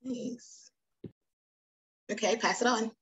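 A woman's voice: a little high, steady tone, slow, breathy edge, muffled recording. A woman hosting a casual group video call, playful, reacting aloud and urging people on. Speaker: host of a virtual icebreaker session.

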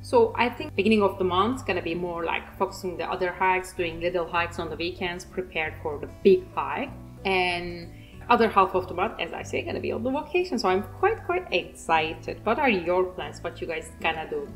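A woman's voice: on the low side, expressive, moderate pace, faint music behind, poor audio. A woman with a Turkish accent, a native Turkish speaker, giving a rambling, self-mocking voice-over while she paints, laughing often. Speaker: art channel host.

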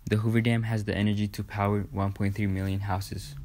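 Flat, low-voiced male newsreel narrator. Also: fairly quick. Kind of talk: scripted narration read aloud.

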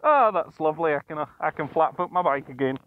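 A man's voice: on the high side, expressive, fast. A man chatting casually as he rides a motorbike, with cheeky, sweary banter, speaking into a helmet mic. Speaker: motovlogger. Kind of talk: casual chat while riding. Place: outdoors on a motorcycle ride. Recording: muffled helmet mic, loud vehicle noise. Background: motorcycle engine and road noise.

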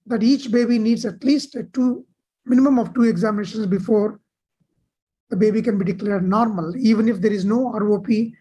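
A woman delivering a measured medical conference keynote over slides on a video call. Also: medium pitch, warm tone, breathy edge, poor audio. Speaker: keynote speaker.

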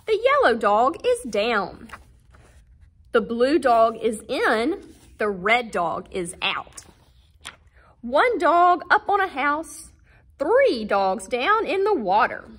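A woman reading a picture book aloud to young children, with animated, deliberate and emphatic phrasing. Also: sits high, slightly rough. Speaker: guest children's book reader.

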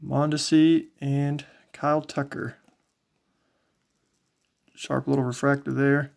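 A man casually commentating as he shows collectibles, in a low-key, folksy way, thinking aloud. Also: little pitch movement, takes it slowly, gravelly, darkish tone. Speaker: trading card collector and video host. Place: indoors, small home tabletop setup.

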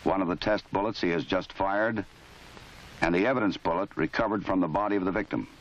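An American man reading formal scripted narration for a training film in a measured, authoritative, matter-of-fact way. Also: deep voice, a little hiss or hum. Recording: dull lo-fi 1970s film soundtrack.

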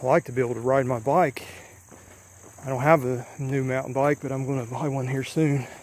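A man talks to his camera while walking a long overgrown back road, his voice steady and flat with little rise or fall. He rambles loosely and sounds a little worn from the walk, and faint insects buzz in the open air behind him. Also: mid-range voice, brisk, a little hiss or hum. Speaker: vlogger exploring an abandoned road. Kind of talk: casual walking vlog. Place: outdoors on an overgrown country road. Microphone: handheld or body camera while walking. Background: faint insects and rural ambience.

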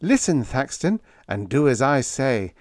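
A man voicing a calm, clever young spaceship officer in a science fiction story, speaking in a soothing, deliberate, polite way. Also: medium pitch, no echo, studio quality, pitched above the performer's own voice. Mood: soothing, commanding.

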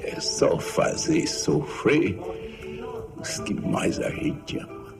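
Brooding brazilian melodrama guy, super slow, music loud behind. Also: fairly high pitch, wildly swooping pitch, full character voice. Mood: grave, sorrowful. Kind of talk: dramatic acting.

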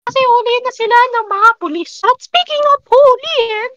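A performer voicing an upbeat cartoon mouse news reporter with a pitched-up voice, presenting mock news in a gaming roleplay. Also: moderate pace, bright, forceful tone, no room sound, lo-fi sound.

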